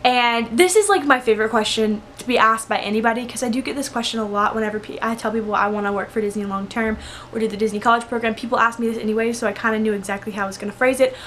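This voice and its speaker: A woman's voice: fairly high pitch, rapid-fire, fairly bright tone, slight background noise. A young woman vlogger sharing tips in a casual, rambling, chatty storytime style, with lots of "like" in her speech. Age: young adult. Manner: rambling, informal, heavy use of "like". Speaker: vlogger giving interview tips.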